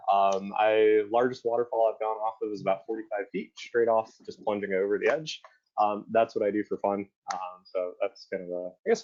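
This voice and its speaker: Casual male webinar host, lower voice, lo-fi web-call sound. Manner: relaxed, casual, many fillers. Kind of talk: webinar hosting. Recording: muffled lo-fi online call audio.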